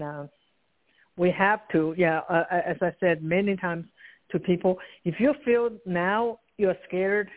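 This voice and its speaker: A woman from China, a guest author talking with urgent insistence and some grammar slips. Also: lowish voice. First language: Chinese. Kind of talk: interview over the phone. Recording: tinny phone line.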